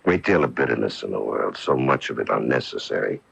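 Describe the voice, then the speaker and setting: Gravelly, deep police lieutenant. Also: male voice, expressive, brisk, fairly bright tone, full character voice. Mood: weary, frank. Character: world-weary, measured male police lieutenant. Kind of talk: 1980s radio drama acting. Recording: dull AM radio recording.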